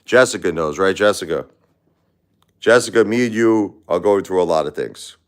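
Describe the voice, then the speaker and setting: A man chats loosely on his own livestream, circling back on his words and calling out viewers by name. His voice sits very low. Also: moderate pace. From Brooklyn, New York. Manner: rambling, repeats words and restarts, addresses chatters by name. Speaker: livestream host. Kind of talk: casual livestream chat with viewers.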